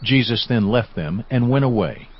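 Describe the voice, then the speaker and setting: A man's voice: mid-range voice, expressive, moderate pace, slightly rough, muffled recording. A man giving scripted narration for a dramatized audio Bible.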